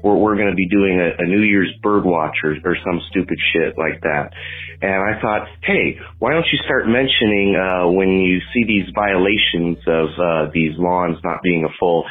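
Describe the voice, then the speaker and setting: Man, very deep voice, offhand voicemail, phone-line sound. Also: moderate pace, breathy edge. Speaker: show's cameraman calling in. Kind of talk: casual voicemail.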